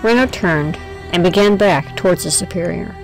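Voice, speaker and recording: A woman's voice: fairly low pitch, moderate pace, creaky, faint music behind, rough recording. A woman narrating a scripted audio drama as its storyteller.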